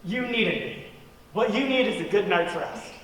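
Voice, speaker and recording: An actor playing a sceptical, easygoing young gentleman in a radio play. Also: high-pitched, expressive, normal speed. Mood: dry, sceptical. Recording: very echoey hall, distant mic.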